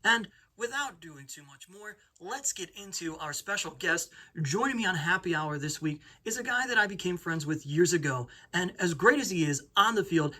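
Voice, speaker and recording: A man's voice: sits high, pushed and bright. A man hosting a podcast, talking conversationally as he introduces the show and his guest. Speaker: podcast host. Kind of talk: upbeat show introduction and interview.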